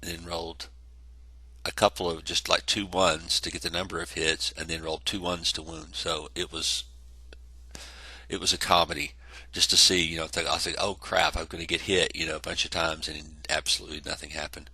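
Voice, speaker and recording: Man, congested, very low husky voice with a bright edge, rambling casual wargame recap. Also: level tone, a little fast, dry recording. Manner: rambling, self-correcting, lots of fillers. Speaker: tabletop wargame player narrating a battle report. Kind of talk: casual battle report narration over photos.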